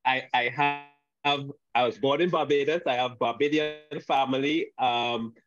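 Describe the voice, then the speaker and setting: A man from Saint Lucia gives a panel talk over a video call, halting, with frequent restarts and fillers. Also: mid-range voice, fairly even intonation, a bit bright, faint music behind. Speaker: guest speaker. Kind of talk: online panel presentation. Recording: dull lo-fi video call audio.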